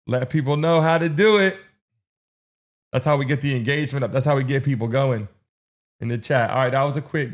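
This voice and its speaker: A man hosting a live stream, urging his chat along with insistent, repetitive calls and countdowns. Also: medium pitch. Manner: urgent, insistent, repeating himself. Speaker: livestream host running chat polls.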